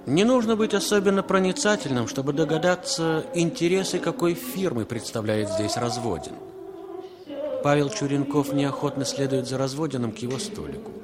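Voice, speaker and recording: A man's voice: fairly high pitch, lively intonation, normal speed. Male radio drama narrator over music.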